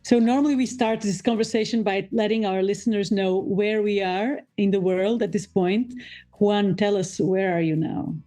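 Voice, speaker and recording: A woman from Portugal, hosting a podcast interview over a remote call, friendly and quick to laugh. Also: medium pitch, fairly even intonation, soft background music. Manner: friendly, laughs often, warm welcomes. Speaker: podcast host. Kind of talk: scripted intro then casual interview.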